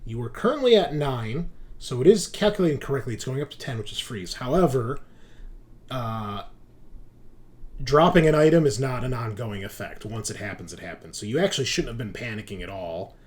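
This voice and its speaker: A man's voice: medium pitch, animated, brisk. A man acting as game master, explaining rules and narrating a tabletop roleplaying game in a conversational, explanatory way.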